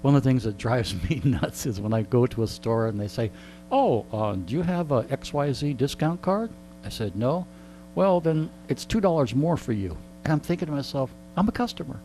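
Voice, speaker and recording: A man's voice: medium pitch, expressive, normal speed, dark tone, slight background noise, low-quality recording. A man telling stories in casual comedic banter, joking and mock-indignant, acting out bits of dialogue. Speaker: radio host being interviewed.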